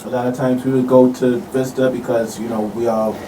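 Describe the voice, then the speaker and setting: An American man in relaxed, casual conversation as a guest. His mid-pitched voice sits a little away from the microphone, with faint room noise around it, and he speaks in a loose, laid-back way full of "you know". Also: brisk, distant mic, rough recording. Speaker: guest, local performer. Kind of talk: casual chat. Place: radio studio.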